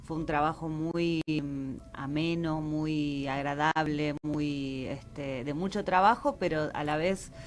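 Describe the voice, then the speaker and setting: Low-voiced woman, halting and tentative. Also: fairly even intonation. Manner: tentative, halting, pauses to find words. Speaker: interview guest, theatre director. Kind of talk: casual radio interview.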